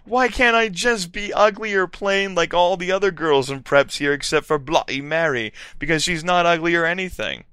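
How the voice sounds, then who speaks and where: A man voicing a melodramatic teenage goth girl who whines in a shrill, mock-dramatic way. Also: normal speed, hardly any pauses, bright, forceful tone, clear, resonant tone, no echo, clean studio sound. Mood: whiny.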